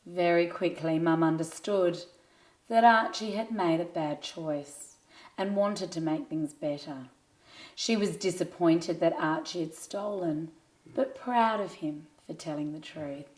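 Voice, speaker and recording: Woman, slightly low pitch, storyteller, scripted children's narration, expressive, addressing young listeners. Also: unhurried, poor audio.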